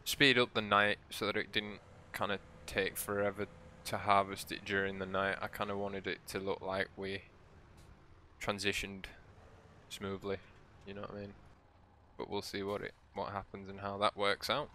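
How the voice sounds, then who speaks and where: A man with a croaky, hoarse voice, giving relaxed, rambling commentary as he plays a farming game for his channel. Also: on the low side, moderate pace, slight background noise. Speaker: let's play host. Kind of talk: casual gameplay commentary.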